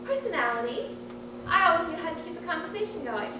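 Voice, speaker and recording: Woman acting high-voiced fussy aunt, echoey stage. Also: very animated, fast. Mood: brisk. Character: fussy, bossy, chatty middle-aged aunt and housewife. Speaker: stage actress. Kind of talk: live one-act stage comedy acting. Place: indoor stage, recorded from afar. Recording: echoey noisy hall, distant mic.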